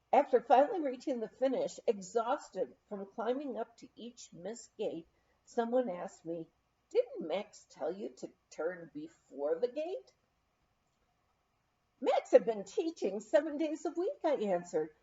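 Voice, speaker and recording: Female memoir reader, lively swooping intonation, unhurried and soft-toned, slightly muffled sound. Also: on the high side. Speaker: audiobook reader. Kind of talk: expressive memoir reading.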